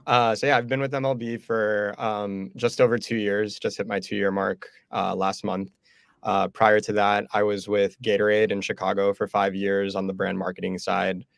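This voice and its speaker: A man in his thirties from Miami, giving relaxed, steady interview answers with the odd filler. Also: fairly low pitch, normal speed, creaky, dry recording, clean studio sound. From Miami, Florida. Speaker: guest.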